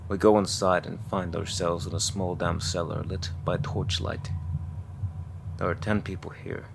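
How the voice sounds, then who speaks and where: An actor playing a troubled, hesitant priest who narrates a dark fantasy audio drama. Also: male voice, deep voice, little pitch movement, a little slow, gravelly, noisy background. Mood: awed. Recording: echoey voice with music underneath.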